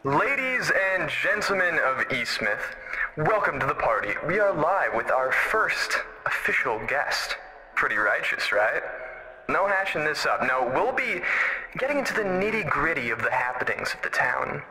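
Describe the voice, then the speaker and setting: A man's voice: fairly high pitch, big pitch swings, moderate pace, rough recording. An actor playing a smug showman radio host in an audio drama, who uses old slang. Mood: showy.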